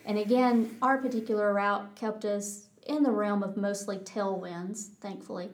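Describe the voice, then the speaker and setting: A middle-aged woman with a Texas accent, a pilot answering interview questions in a measured way, often restarting her sentences. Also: mid-range voice, a little hiss or hum. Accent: Texan. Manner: flat, measured, with many restarts. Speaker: pilot guest.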